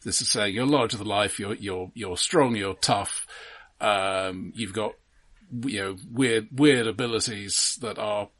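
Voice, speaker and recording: Man's slightly husky, analytical podcast voice. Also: lowish voice, measured pace, a bit bright. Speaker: podcast co-host. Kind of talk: casual film discussion.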